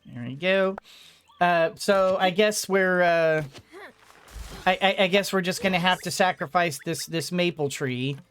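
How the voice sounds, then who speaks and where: A man's voice: high-pitched, unhurried, clean tone. Rambling man doing game commentary. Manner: rambling, thinking out loud, talking to the game. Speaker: gaming video host. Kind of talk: casual let's play commentary.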